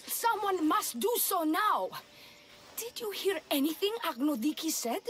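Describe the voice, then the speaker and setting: A woman's voice: very high voice, very animated, measured pace, fairly bright tone, slight background noise, heavily acted. An actress playing a feisty, headstrong woman warrior, a younger sister, in a video game, forthright and sardonic. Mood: urgent, defiant. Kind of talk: video game cutscene acting.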